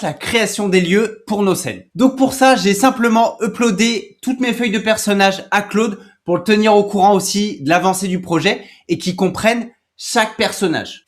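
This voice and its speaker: Higher-voiced man giving a casual tutorial. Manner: informal, instructive, addresses the viewer directly. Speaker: tutorial host. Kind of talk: step-by-step screen tutorial, casual and conversational.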